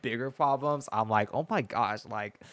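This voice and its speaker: A man's voice: medium pitch, normal speed, fairly bright tone, dry recording. A man in his twenties giving casual, sassy, slangy reaction commentary as a game streamer. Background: game music.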